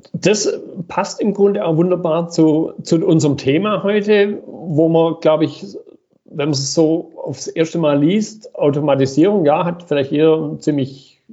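A male podcast host with a somewhat high, breathy voice opens with a scripted introduction. He then interviews his guest in a measured way, often restarting and rephrasing his questions. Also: warm tone, muffled recording. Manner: measured, asks questions with many hesitations and repetitions.

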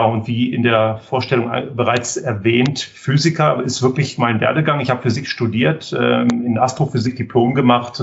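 A man's voice: medium pitch, dull audio, a little hiss or hum. A middle-aged German man, a guest on a podcast, explaining his ideas thoughtfully with frequent fillers. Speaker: guest, economic physicist and consultant.

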